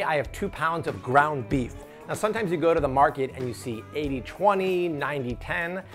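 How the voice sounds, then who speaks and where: A man in his thirties hosting an upbeat cooking tutorial in a home kitchen, playful and full of jokey asides. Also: fairly high pitch. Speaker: cooking show host.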